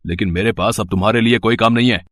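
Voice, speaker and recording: Casting: hard-nosed boss, lowish male voice, brusque, very dry sound actor in a cartoon moral tale. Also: fairly even intonation, fast, fairly bright tone, no room sound, natural voice. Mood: curt, dismissive. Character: curt, unyielding male boss; also brief tradesman, doctor. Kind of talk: animated moral story voice acting.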